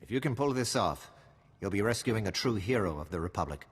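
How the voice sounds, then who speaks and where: A weary male intelligence officer in a video game. His low, husky voice briefs with wry, matter-of-fact secrecy, in a light cavernous reverb. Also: lively intonation, fairly quick. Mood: focused.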